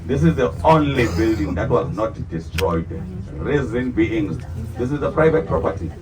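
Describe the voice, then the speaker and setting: A man's voice: medium pitch, very animated, normal speed. A South African man whose first language is not English, guiding a tour group and calling them "good people" as he asks them questions. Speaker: tour guide. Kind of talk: guided bus tour narration. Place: tour bus. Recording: tinny distant PA-like sound with loud vehicle noise.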